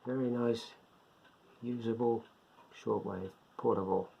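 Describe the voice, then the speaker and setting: Older man, creaky gravelly voice, casual hobby presenter, halting and unscripted, muffled recording with faint noise. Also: medium pitch, steady tone. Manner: halting, unscripted, thinking aloud. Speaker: presenter of a beginners' radio hobby video. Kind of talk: casual product demonstration. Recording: dull lo-fi sound, faint background noise.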